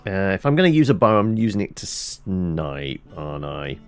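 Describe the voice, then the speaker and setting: A man's voice: low voice, sing-song, normal speed. A man hosting a let's play, who rambles and corrects himself as he mutters through his inventory. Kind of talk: casual gameplay commentary. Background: game music.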